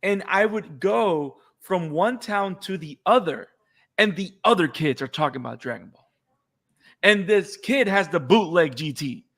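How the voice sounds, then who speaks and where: A man from Puerto Rico, a native Spanish speaker with a Puerto Rican accent, talking excitedly as a podcast co-host in casual chat. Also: high voice, unhurried. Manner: excited, repeats words when fired up.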